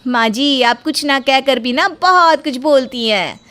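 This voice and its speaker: A woman's voice: high-pitched, unhurried, fairly bright tone, smooth. A woman voicing a short-tempered, threatening young daughter-in-law in a cartoon moral story, scolding with sarcasm and grievance. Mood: aggrieved, threatening.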